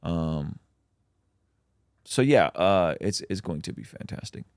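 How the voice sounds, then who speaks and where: A man's voice: low voice, expressive, takes it slowly, darkish tone, clean tone, no echo, clean studio sound. A man, a native Russian speaker, hosting a casual livestream where he reads out chat questions and answers them with plenty of ums.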